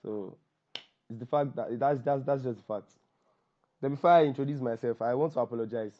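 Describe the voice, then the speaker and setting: A Nigerian man with a voice hoarse from shouting, hosting an informal group call in a joking, self-deprecating, repetitive way. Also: medium pitch, a little fast. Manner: joking, self-deprecating, repeats himself for emphasis. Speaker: workshop host and copywriter. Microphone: online call microphone. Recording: dull, lo-fi group call audio.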